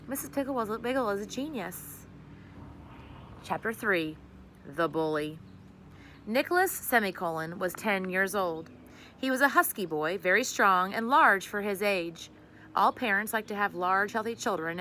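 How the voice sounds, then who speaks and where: A woman's voice: medium pitch, sharp tone, slight background noise. A woman reading a children's story aloud expressively, like a teacher addressing her class.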